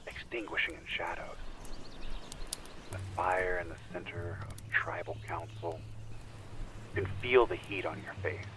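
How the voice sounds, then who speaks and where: A man acting in an audio drama as an earnest spiritual friend, coaxing and deliberate, who guides meditation. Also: deep voice, noisy background.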